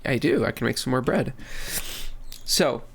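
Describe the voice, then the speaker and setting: Young male host, stuffy from a cold, loose rambling game commentary, average pitch, light background hiss. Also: expressive, a little slow, vocal fry. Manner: rambling, restarts phrases, many fillers. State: congested from a cold. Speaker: gaming channel host. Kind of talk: casual commentary while playing.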